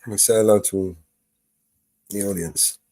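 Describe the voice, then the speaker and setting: British male host on a call, low voice, bone-dry sound, stumbles through fillers. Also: fairly even intonation, a little slow, darkish tone, no echo. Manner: hesitant, filler-heavy when explaining. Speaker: host calling a police department. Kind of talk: live-streamed phone call.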